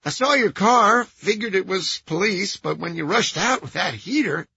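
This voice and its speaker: Man putting on a high, nasal, shaken caretaker. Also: expressive, normal speed, sharp tone, no room sound, highs cut off, exaggerated voice. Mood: shaken, relieved. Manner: nervous, breathy pleading and apologizing. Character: shaken, apologetic middle-aged male caretaker, frightened but eager. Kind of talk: audiobook character voice.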